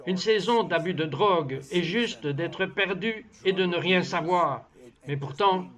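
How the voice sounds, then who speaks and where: A man with a notably high voice reads a dubbed voice-over of a personal testimony. His delivery is earnest and measured, and the sound is somewhat dull and muffled, like a lo-fi recording. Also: fairly bright tone. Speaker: voice-over translator.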